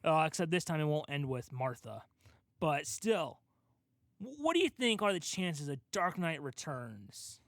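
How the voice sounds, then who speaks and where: A man's voice: on the high side, big pitch swings, unhurried, creaky, fairly bright tone, no room sound, studio quality. A man co-hosting a casual podcast, talking in a rambling, enthusiastic fan's way. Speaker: podcast co-host. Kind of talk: casual podcast discussion of comic book films.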